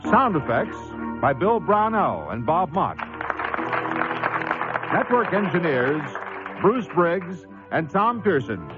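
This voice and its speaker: Loud music plays under a dull radio recording of a man. His high, lively voice reads in formal announcer style. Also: slow pace, long pauses. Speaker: credits announcer. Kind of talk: scripted radio credits announcement.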